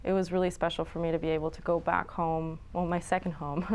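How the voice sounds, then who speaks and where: A young woman from New York and Florence, answering interview questions about her film in a relaxed, chatty way with fillers and small laughs. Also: fairly low pitch, a little fast. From New York City and Florence. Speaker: filmmaker guest. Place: studio.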